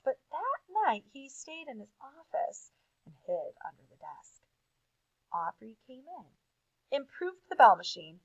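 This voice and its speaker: A woman reading aloud to children as a teacher, with expressive emphasis and casual asides. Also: sits high, no room sound, dull audio.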